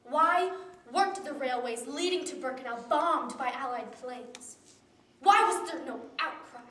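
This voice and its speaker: A teenage girl giving a memorized competition speech with a solemn, deliberate delivery that drops to hushed tones. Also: high voice, slight background noise. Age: teens. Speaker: student speaker. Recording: roomy echo from a distant camera.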